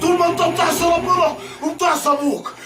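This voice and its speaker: A man with an Egyptian accent playing an enraged father who shouts at his son in a TV drama. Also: extremely high pitch, fairly even intonation, rapid-fire. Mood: furious. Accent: Egyptian Arabic. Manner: shouting, furious. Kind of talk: TV drama acting. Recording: roomy distant sound with music and crowd.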